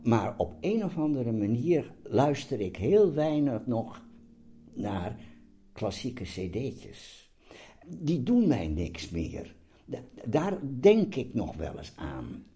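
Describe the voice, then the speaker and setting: An elderly man in his eighties, talking reflectively in an interview, halting and often repeating words as he searches for them. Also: mid-range voice, big pitch swings, dark tone. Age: 80s. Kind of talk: personal interview, reflective talk. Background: music.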